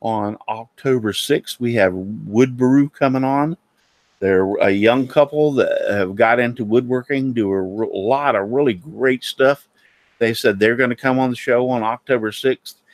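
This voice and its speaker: A man hosting a casual live-streamed woodworking talk show, sounding nasal and a little hoarse, rambling with many fillers and restarts. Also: medium pitch, a little slow, no echo.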